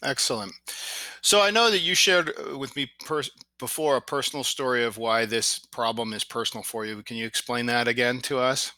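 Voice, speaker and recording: A man interviewing a guest, working through his questions with short filler pauses. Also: mid-range voice, sharp tone, dry recording.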